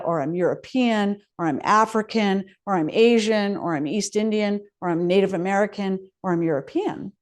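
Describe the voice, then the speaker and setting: Woman chef, lowish voice, lecture told as stories with rhetorical questions. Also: darkish tone. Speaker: chef and speaker. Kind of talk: public talk to an audience. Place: hall of a residential contemplative community.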